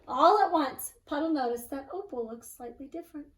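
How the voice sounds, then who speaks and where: Teacher lady doing a kids read-aloud, high animated voice, echoey room. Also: a little fast, far from the mic. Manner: animated, engaging listeners with questions. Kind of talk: expressive children's storybook reading with asides. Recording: roomy echo, away from mic.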